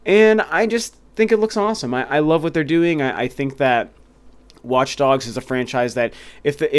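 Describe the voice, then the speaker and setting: A man's voice: mid-range voice, smooth. A young man hosting a video game review channel, talking casually and enthusiastically with frequent restarts while a little stuffy and nasal from a cold. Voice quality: slightly nasal. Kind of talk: casual solo talk to camera.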